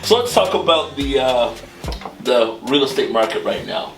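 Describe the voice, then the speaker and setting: A man's voice: mid-range voice, animated, moderate pace, recorded from a distance. Male interviewer, echoey and off mic. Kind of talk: casual interview chat. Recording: echoey room, off mic, faint music behind. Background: faint music.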